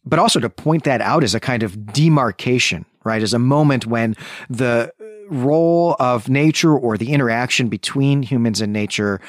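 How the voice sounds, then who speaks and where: A man speaks in a close, dry recording with a mid-pitched voice. He sounds like a bookish podcast co-host, explaining and digressing conversationally with light amusement. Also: moderate pace, dry recording. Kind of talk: conversational literary discussion and recap.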